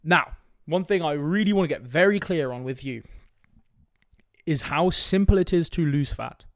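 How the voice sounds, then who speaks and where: A man's voice: fairly high pitch, normal speed, dry recording, studio quality. A young man with a sniffly cold, hosting a casual solo fitness podcast in a direct, laid-back way with frequent "right?" asides. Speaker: fitness coach podcast host.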